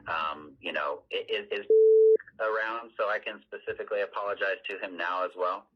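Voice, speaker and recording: Man, very low voice, hesitant, placating, teacher explaining, dull lo-fi call recording. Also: steady tone, normal speed, a little hiss or hum. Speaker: school teacher. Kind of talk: explanatory conversation.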